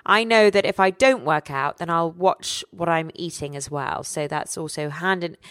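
A low-pitched woman in her forties speaks candidly and with energy about her own life. The sound is dry and close, with no room echo. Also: moderate pace, fairly bright tone. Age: 40s. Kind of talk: casual chat.

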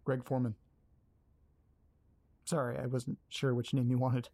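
Man playing a nervous young man, hesitant, apologetic, mellow dark voice, audio drama. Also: mid-range voice, completely flat delivery, a little slow. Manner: hesitant, apologetic, stumbling over words. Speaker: lead character.

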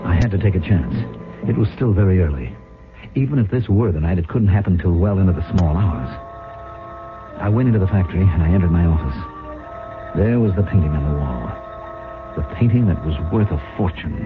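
A man's voice: sits low, animated, fairly quick, long pauses. An actor playing a scheming, anxious businessman who narrates his own downfall in a radio drama. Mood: tense, confiding. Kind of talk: radio drama acting with first-person narration. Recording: tinny 1970s radio broadcast, music behind.

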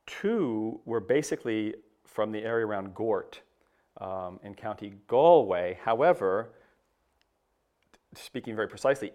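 A middle-aged man speaks in a lowish voice. He answers an interviewer slowly and reflectively, stumbling into frequent restarts and fillers. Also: darkish tone. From United States. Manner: hesitant, many restarts and fillers. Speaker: papal nuncio, interview guest.

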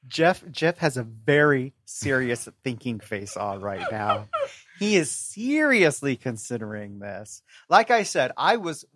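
A man's voice: medium pitch, big pitch swings, a little slow, noisy background. A man speaking as a podcast guest, discussing books casually with articulate, considered phrasing.

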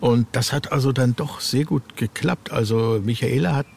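A man's voice: medium pitch, normal speed, creaky, darkish tone, slight background noise. A man being interviewed as an author, chatting casually and searching for words with repeated phrases.

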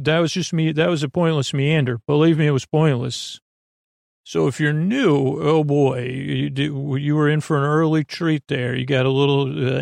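A man speaks in a soothing, lulling way with a heavy vocal fry. He hosts a bedtime monologue that wanders and stumbles through digressions. The recording is dry, with no room sound around him. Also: medium pitch, dry recording. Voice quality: creaky. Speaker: podcast host. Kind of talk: rambling, meandering bedtime monologue.

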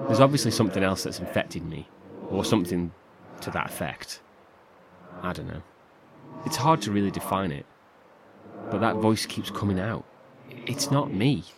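A man's voice: on the low side, lively intonation, normal speed. A man playing someone trapped inside a rift who talks anxiously and earnestly about his brother. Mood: worried. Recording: noisy recording.

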